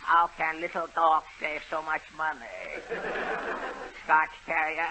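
A man's voice: a little high, completely flat delivery, takes it slowly. A man playing a bumbling detective in a 1930s radio comedy who speaks in clipped broken sentences and chuckles at his own puns. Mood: pompous. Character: bumbling male pidgin-talking detective Longpan. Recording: old noisy radio broadcast.

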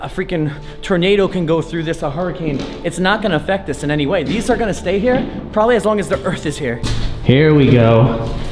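A young man in his twenties talking excitedly to a handheld camera as he explores an abandoned sea fort, thinking aloud. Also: a little high. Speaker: urban explorer vlogger. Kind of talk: casual handheld vlog narration. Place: inside an abandoned sea fort, concrete corridors and rooftop.

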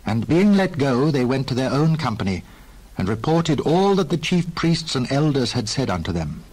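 A man narrating scripture in an expressive, scripted storytelling style. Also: medium pitch, normal speed, husky, low-quality recording. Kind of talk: scripted, dramatized Bible narration.

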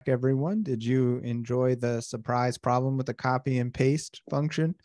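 A man's voice: mid-range voice, steady tone, a little slow, darkish tone, dry recording. A man running an online meeting, talking casually and joking around. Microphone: video call.

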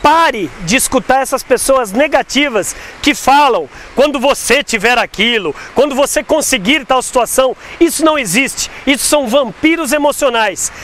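A man's voice: very high voice, fairly bright tone, a little hiss or hum. A Brazilian man in his forties, a sales speaker hosting a motivational segment, talking emphatically to camera with rhetorical questions, recorded outdoors on a lapel mic. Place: outdoors on an overpass above a busy road.

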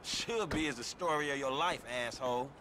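An actor playing a sarcastic young sidekick in a game cutscene, trading insulting banter. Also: male voice, a little high, brisk, background noise, close to an everyday voice. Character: mouthy, sarcastic young male street buddy. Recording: game music playing behind.

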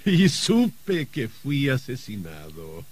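An actor playing a mocking, menacing middle-aged uncle in a melodramatic radio drama, husky and theatrical, with halting, sardonic delivery. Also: male voice, mid-range voice, wildly swooping pitch, full character voice. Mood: mocking, ominous. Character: gleeful, mocking, menacing uncle, a murdered novelist host.

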